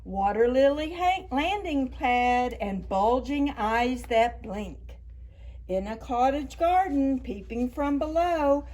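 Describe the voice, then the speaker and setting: A woman with a fairly high voice reads picture books aloud for preschoolers. She takes her time, speaking slowly and deliberately. Key words get stretched and stressed in an expressive storytime delivery. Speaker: children's librarian, storytime host.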